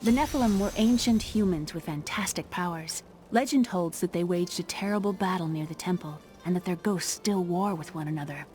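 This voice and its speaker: A woman's voice: on the low side, fairly quick, few pauses, darkish tone, faint music behind, lightly acted. An actress playing an earnest, curious young woman in a fantasy video game. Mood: explaining. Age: young adult.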